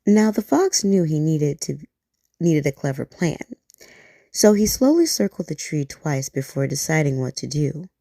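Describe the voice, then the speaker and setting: Woman storyteller for bedtime, low and gravelly, warm, dry close mic. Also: animated, measured pace, no room sound. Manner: soft, gentle and soothing.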